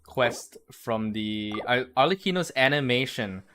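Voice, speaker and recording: Guy streaming a game, chatting and reacting casually, normal pitch, game music low in the back. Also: lively intonation, measured pace. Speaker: livestreaming gamer.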